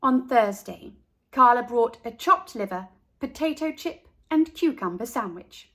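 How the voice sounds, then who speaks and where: A high-voiced woman narrates a children's story with lots of melodic movement. She takes her time, shaping each phrase deliberately and expressively for young listeners. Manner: slow, deliberate, animated. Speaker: storyteller reading children's picture book. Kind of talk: expressive read-aloud narration for kids.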